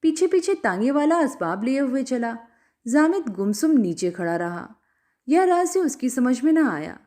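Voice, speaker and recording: A woman reading a story aloud as an expressive scripted narration. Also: high-pitched, measured pace.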